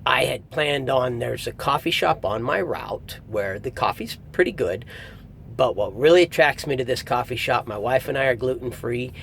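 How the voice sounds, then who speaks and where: A middle-aged man giving a casual spoken review in a conversational, emphatic way, pausing for effect. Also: creaky, a bit bright. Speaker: audiobook reviewer. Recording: faint low rumble, like vehicle noise.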